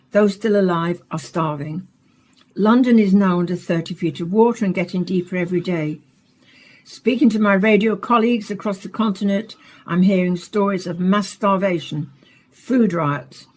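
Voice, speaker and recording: A woman playing a dutiful radio broadcaster in a dystopian science fiction play, with a slightly husky voice and scripted delivery. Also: mid-range voice, natural voice. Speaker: radio interviewer and narrator. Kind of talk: scripted radio drama monologue and interview.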